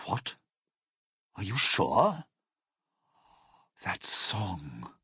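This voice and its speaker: Sly bitter heist crook for a game, super swoopy delivery, husky, sardonic and theatrical. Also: male voice, low-pitched, wildly swooping pitch, drawn-out words, pushed and bright, dry recording, studio quality, exaggerated voice. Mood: suspicious, irritated. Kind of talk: video game character dialogue.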